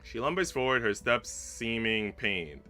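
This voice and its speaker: A man reading a visual novel aloud as a streamer, in an expressive, dramatic narration that drops hushed and rises with emphasis. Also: mid-range voice, unhurried. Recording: faint game music behind. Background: game music.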